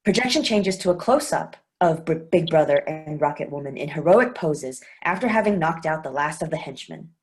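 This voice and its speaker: Woman reading out stage directions, lowish voice, steady and clear. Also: moderate pace, hardly any pauses. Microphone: video call.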